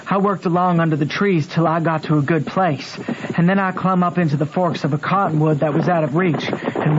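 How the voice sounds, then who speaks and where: A man's voice: fairly high pitch, heavy background noise, natural voice. A man playing a rustic American boy with a Southern accent, telling his adventures in plain country dialect for a radio drama. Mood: tense recounting. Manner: folksy, plain-spoken storytelling in country dialect. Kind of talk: old-time radio drama narration. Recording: dull old radio recording with music.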